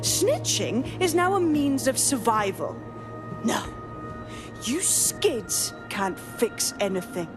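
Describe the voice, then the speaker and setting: A woman playing a mocking, bitter hacker villain in a video game, smug, theatrical and deliberate. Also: a little high, big pitch swings, over music. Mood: scornful. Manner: taunting, deliberate.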